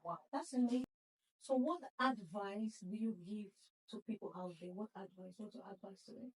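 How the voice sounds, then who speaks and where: A woman's voice: medium pitch, normal speed, far from the mic, rough recording. A woman hosting a podcast interview, chatty and talking straight to her audience. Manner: chatty, addresses audience as guys. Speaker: podcast host. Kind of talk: casual interview.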